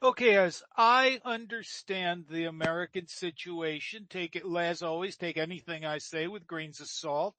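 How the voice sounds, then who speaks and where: A man's voice: high voice, moderate pace, few pauses, pushed and bright, no room sound. A man on a podcast speaking carefully and qualifying his points in casual political chat. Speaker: podcast host.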